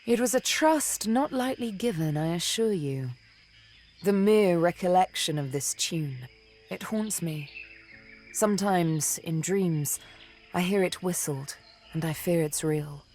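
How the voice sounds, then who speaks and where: A woman playing a seductive, vengeful elven assassin and former slave in a video game, speaking in a deliberate, theatrical, teasing manner. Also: on the low side, darkish tone, faint music behind, close to an everyday voice. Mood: vengeful, haunted. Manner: deliberate, teasing, dramatic storytelling with pauses. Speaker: video game companion character.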